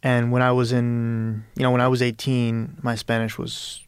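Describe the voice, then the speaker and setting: A man's voice: medium pitch, completely flat delivery, moderate pace, clean tone, no room sound. A man from Peru talking as a writer in an interview, thoughtful and searching, often restarting and adding "you know". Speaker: guest writer. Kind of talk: reflective interview conversation.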